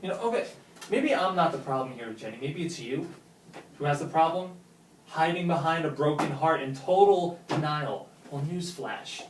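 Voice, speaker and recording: Guy acting hurt lovesick man arguing, halting film drama dialogue, echoey room w faint noise. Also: fairly high pitch, lively intonation, close to an everyday voice. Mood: indignant. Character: lovesick, wounded, sarcastic man confessing love to his friend. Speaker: actor at a script table read. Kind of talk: naturalistic film drama dialogue read aloud. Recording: roomy echo, distant mic, faint noise.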